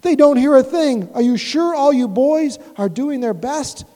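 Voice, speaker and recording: A woman voicing a big, gentle, loyal male elephant who speaks slowly and earnestly. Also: high voice, pitched above the performer's own voice. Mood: patient. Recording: echoey room with long reverb.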